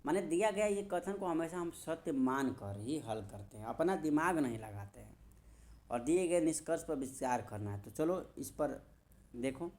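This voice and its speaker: A man's voice: fairly high pitch, a little mellow. A man teaching an informal coaching lesson, repeating key phrases and answering his own questions as he talks straight to students. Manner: emphatic, addresses students directly. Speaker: maths and reasoning teacher.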